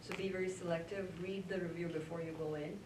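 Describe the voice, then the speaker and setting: A woman's voice: lowish voice, fairly even intonation, fast talker, a little mellow. Chatty woman panelist in echoey hall. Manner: friendly, chatty advice. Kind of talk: panel discussion. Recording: echoey room, far from mic, noisy.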